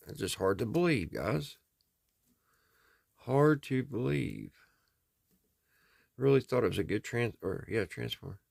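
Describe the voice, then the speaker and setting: A man's voice: mid-range voice, gravelly, no room sound. An American man talking casually and folksily, thinking aloud as he hosts a hands-on repair vlog. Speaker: host of a radio repair video.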